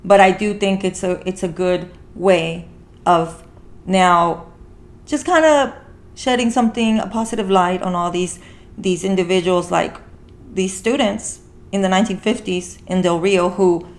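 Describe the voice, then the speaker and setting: A woman's voice: lowish voice, unhurried. Woman podcast host chatting earnestly. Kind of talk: casual conversational movie discussion.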